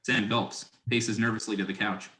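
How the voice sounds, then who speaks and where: An echoing, roomy recording carries a man's scripted narration. His voice is fairly low as he reads out stage directions. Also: steady tone, normal speed, sharp tone.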